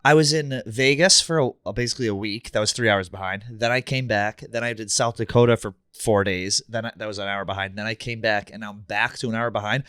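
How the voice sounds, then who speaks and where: A man's voice: lowish voice, steady tone, brisk, hardly any pauses, a bit bright, clear, resonant tone, no room sound, clean studio sound. Brash teasing podcast co-host man. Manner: brash, teasing, swears freely. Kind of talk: casual chat.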